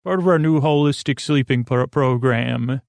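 A man hosting a sleep podcast, rambling softly with many fillers and restarts in a lulling, creaky voice. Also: medium pitch, no echo. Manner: meandering, halting, full of fillers and restarts; soft, lulling, unhurried.

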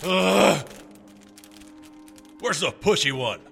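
A man's voice: high voice, lively intonation, very slow, vocal fry, fairly bright tone, heavily acted. An actor in an audio drama playing a grouchy, self-indulgent male boss who grumbles irritably. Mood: irritated, grumbling. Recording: reverberant hall, music behind.

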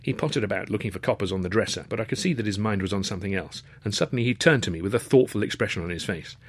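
A man with an English accent reading a first-person story in a wry, dryly humorous way, slightly husky. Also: fairly low pitch, rapid-fire, no room sound. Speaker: author reading his own novel.

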